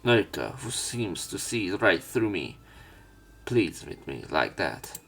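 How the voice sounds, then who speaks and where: Low-voiced man narrating a visual novel. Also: very animated, slow, vocal fry, a bit bright, husky. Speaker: let's play narrator. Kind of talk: reading visual novel script aloud with commentary. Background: background music.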